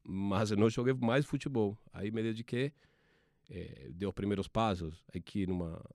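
A man in his forties from Argentina, speaking with an Argentine Spanish accent, a TV sports producer telling his career story in halting speech with many restarts. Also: lowish voice, darkish tone, dry recording, studio quality. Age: 40s.

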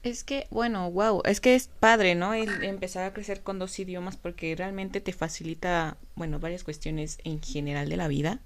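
A woman's voice: fairly low pitch, steady tone, moderate pace, few pauses, slight background noise. A woman interviewing a musician, asking long, rambling questions. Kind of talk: casual interview.

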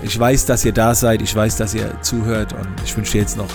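A man's voice: fairly low pitch, level tone, brisk, music in the background. A man with a regional German dialect hosting a solo podcast, talking casually with many hesitations and repeated words. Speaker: podcast host.

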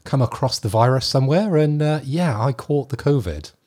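A man co-hosting a podcast with a raspy voice, still recovering from illness, speaking in an easygoing, friendly way. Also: mid-range voice, expressive, normal speed, a little mellow. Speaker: podcast co-host. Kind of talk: casual podcast banter.

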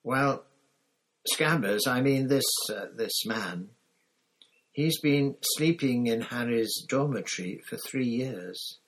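A man's voice: mid-range voice, animated, takes it slowly, pitched above the performer's own voice, low-quality recording. A man reading an audiobook, voicing a timid, clever schoolgirl who speaks hesitantly.

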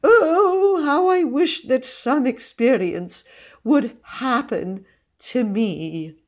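A woman's voice: high-pitched, very animated, slow, mellow, pitched above the performer's own voice, exaggerated voice. A woman voicing a credulous, gushing spinster cousin in a story, breathless and drawn out in her fervent way of speaking. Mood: wistful sighing.

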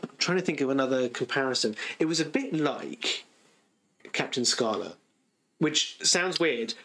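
A man's voice: mid-range voice, lively intonation, normal speed, pushed and bright, slight background noise. Guy w an average voice going on about what he likes n doesnt, chatty podcast. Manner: opinionated, explains at length. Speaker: podcast co-host. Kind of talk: casual chat about cartoons and comics.

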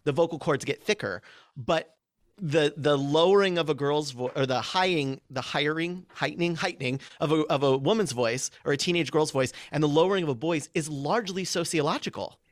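A man guesting on a podcast as a public speaking coach, talking things through with energy and lots of filler words. His voice sits a little higher than most men's, and the sound is dry and close with no room echo. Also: brisk. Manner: energetic, explanatory, many filler likes. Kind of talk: casual podcast conversation.

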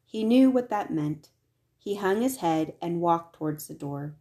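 A woman reading a children's picture book aloud as scripted narration, with expressive delivery. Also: lowish voice, measured pace. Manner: expressive, acts out the dialogue. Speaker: storybook reader.

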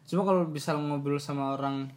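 A man's voice: on the high side, fairly even intonation, fairly quick, a little hiss or hum. A young man from Kebumen with a Banyumasan ngapak Javanese accent, chatting casually as a student guest on a podcast. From Kebumen, Central Java. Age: young adult. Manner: loose storytelling with fillers and asides. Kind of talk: casual chat.

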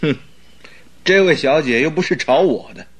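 An actor playing an excitable male doctor and detective's sidekick in a Chinese radio drama, grumbling and whining. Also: fairly high pitch, moderate pace, breathy edge. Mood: grumpy. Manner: whiny, grumbling.

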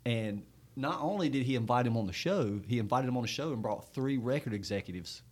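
A man's voice: mid-range voice, lively intonation, fairly quick, slightly rough. Chatty male podcast host. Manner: chatty storyteller, many fillers. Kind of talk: casual podcast conversation.

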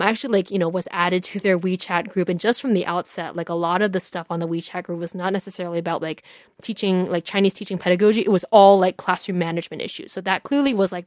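A woman who teaches Chinese, telling a personal story in a relaxed, candid way with many "like" and "you know" fillers. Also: on the low side, no room sound, studio quality. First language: English. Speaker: Chinese language teacher, podcast guest. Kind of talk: casual conversational storytelling.

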